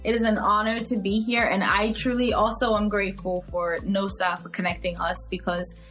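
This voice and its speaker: A woman in her thirties with a Cameroonian English accent talks as a podcast guest. She tells stories expressively and fills pauses with small hesitations. Faint music sits under her voice. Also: mid-range voice, steady tone, a bit bright. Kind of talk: podcast interview, casual chat.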